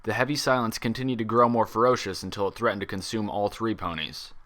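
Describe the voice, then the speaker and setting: A man reading a story aloud as an expressive scripted narration. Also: fairly low pitch, quick pace. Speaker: fan fiction reader.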